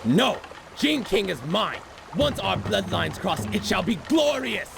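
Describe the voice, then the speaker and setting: Pompous, gravelly animal warlord villain. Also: male voice, high-pitched, very animated, measured pace, noisy background, full character voice. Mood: imperious. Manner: pompous, menacing, theatrical. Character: arrogant, power-hungry animal warlord villain of a martial-arts dynasty. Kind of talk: video game villain acting. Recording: music behind the voice.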